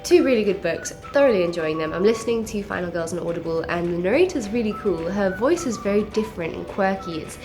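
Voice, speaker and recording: A woman's voice: mid-range voice, expressive, fast talker, few pauses, a little mellow, faint music behind. A woman with a mixed South African and English accent, stuffy and nasal from a cold, chatting enthusiastically about books to camera. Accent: mix of South African and English. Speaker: book vlogger. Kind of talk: casual book chat to camera.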